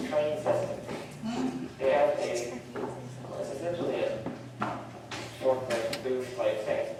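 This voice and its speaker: A man speaking informally as a panelist, sharing personal observations in a discussion. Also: medium pitch. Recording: very echoey, distant mic, faint noise.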